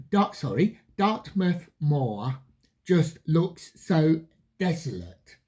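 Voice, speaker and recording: Slow, husky reviewer with lively pitch swings. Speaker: film reviewer, channel host. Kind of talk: retrospective film review commentary.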